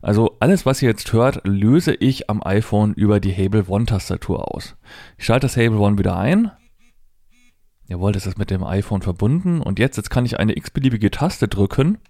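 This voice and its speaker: A man's voice: lowish voice, animated, normal speed, dark tone, no echo. Man giving a dry, close-miked demonstration. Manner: informal, thinking aloud step by step. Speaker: presenter demonstrating assistive technology. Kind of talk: hands-on product demonstration, explanatory.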